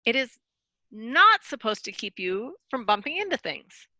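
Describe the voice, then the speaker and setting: A woman's voice: on the high side, very animated, unhurried, pushed and bright, no echo, exaggerated voice. A woman playing a proud, defensive royal wizard in a storybook readers theater, reciting in a grand, declamatory way.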